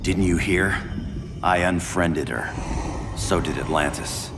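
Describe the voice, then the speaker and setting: Low, gravelly cocky superhero. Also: male voice, slow, heavy background noise. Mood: dry, cocky. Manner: dry, quipping. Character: cocky, wisecracking male superhero with a guilty conscience. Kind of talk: video game cutscene acting. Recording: loud music under the voice. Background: dramatic music.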